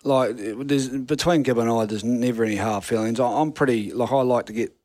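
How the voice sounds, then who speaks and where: A man's voice: mid-range voice, steady tone, fairly quick, a little mellow, no echo. A middle-aged man with a New Zealand accent, a rugby coach answering interview questions frankly, with many restarts. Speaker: rugby assistant coach guest.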